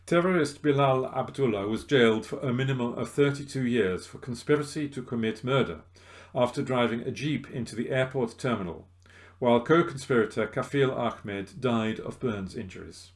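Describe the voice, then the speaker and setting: A man's voice: mid-range voice, moderate pace. A man reading local news articles aloud as a volunteer reader, recorded at home.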